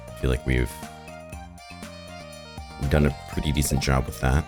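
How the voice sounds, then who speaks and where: A hoarse man with a raspy, rough voice, rambling and thinking aloud as he plays through a farming game in casual commentary. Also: very deep voice, flat delivery, moderate pace. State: hoarse from shouting the night before. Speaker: gaming video host. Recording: game music behind the voice. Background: game music.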